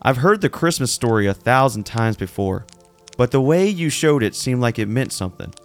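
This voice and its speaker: Man acting a folksy neighbor, lively pitch. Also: normal speed, soft background music. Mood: earnest, searching. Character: friendly, folksy Southern male neighbor, curious and earnest. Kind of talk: church audio drama acting.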